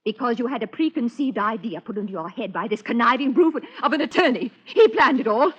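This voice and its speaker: Bitter defiant woman, raspy, highish pitch, emotional, accusing, vintage radio drama, lo-fi. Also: lively intonation, a little fast. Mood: angry, defiant. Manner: emotional, indignant, sarcastic. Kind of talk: old-time radio melodrama acting. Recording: muffled old radio recording.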